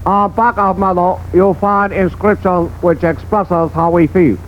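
An old, muffled radio recording with faint noise. a humble official in a high-pitched voice, ceremonious and stilted. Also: male voice, steady tone, a little slow. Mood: ceremonious, grateful. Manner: formal, ceremonious, stilted clipped phrasing. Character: formal, humble high-ranking Japanese official in a radio dramatization. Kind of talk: 1940s radio acting.

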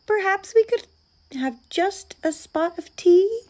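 A woman's voice: very high-pitched, expressive, slow, darkish tone, pitched above the performer's own voice, heavily acted. A woman voicing a small male storybook mouse, polite, apologetic and meekly persistent. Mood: hopeful, timid.

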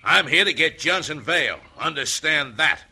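A man's voice: fairly high pitch, animated, moderate pace, bright, forceful tone, husky, heavily acted. An actor playing a vicious, hot-headed gunman with a drawling American Western accent in an old radio drama. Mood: defiant, menacing. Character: vicious hot-headed Texas gunman, male ranch foreman. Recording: noisy old radio recording.

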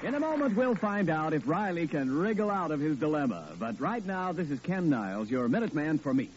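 Man announcing with brisk radio patter. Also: a little high, big pitch swings. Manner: brisk, persuasive salesman patter. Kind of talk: old radio commercial announcing. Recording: old lo-fi radio recording with faint hiss.

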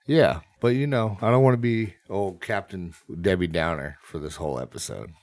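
A man's voice: low-pitched, moderate pace, darkish tone, no echo, studio quality. A young man co-hosting a casual podcast while sick, congested and sniffly, breathing heavily. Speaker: podcast co-host. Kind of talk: casual chat.

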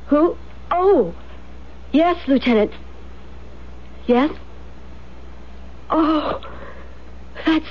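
An actress playing a cool, scheming, single-minded wealthy wife in a 1970s radio drama, poised and needling. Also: female voice, fairly high pitch. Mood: feigned concern. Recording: muffled, noisy 1970s radio broadcast.

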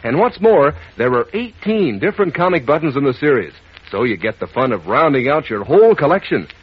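An American man delivering a folksy, enthusiastic 1940s radio advertising pitch. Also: very animated, fairly quick, slightly rough. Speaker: commercial announcer. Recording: muffled old radio broadcast.

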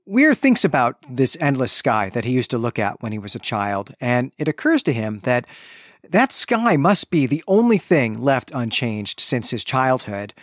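A man's voice: mid-range voice, very animated, moderate pace, no room sound. Man recapping fiction in a dry recording. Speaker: podcast co-host. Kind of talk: literary discussion and chapter recap.

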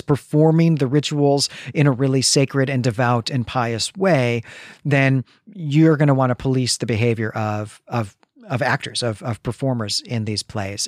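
A man's voice: medium pitch, no room sound, clean studio sound. A man co-hosting a conversational literary podcast, explaining history in a scholarly way with careful asides.

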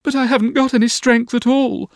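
A man's voice: extremely high pitch, steady tone, a little fast, no room sound, studio quality, voice pushed up. A man voicing a childish, timid young Victorian sweetheart in an audiobook, coaxing and easily frightened. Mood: pouting, protesting. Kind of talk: audiobook character voice.

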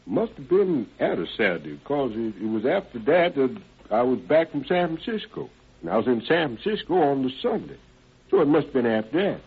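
A man's voice: medium pitch, sing-song, brisk, slightly rough, full character voice. An actor playing an evasive, deferential working man with folksy, ungrammatical speech and polite yes-sirs, in an old radio drama style. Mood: evasive, hesitant. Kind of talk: 1940s-50s radio drama acting. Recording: tinny band-limited old radio recording.

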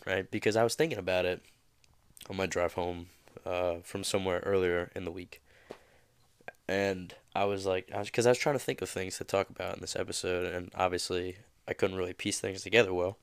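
Low-voiced, slightly nasal man with a cold. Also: smooth, no room sound. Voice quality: a little nasal. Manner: casual, rambling, many fillers. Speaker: podcast host. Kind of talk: motivational podcast monologue.

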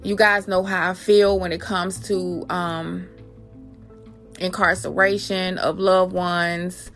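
A woman's voice: on the low side, little pitch movement, slow pace, a bit bright. Woman podcast host over a music bed. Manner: addresses listeners familiarly as guys. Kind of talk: personal podcast talk and reading aloud.

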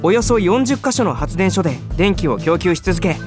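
A woman's voice: on the low side, lively intonation, normal speed, close to an everyday voice. A woman plays a polite young man in a radio drama, boyish and sincere with a teasing streak. His intonation moves up and down freely, and music plays behind him. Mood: earnest explaining. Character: earnest, polite, teasing young male junior coworker. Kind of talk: Japanese radio drama acting.

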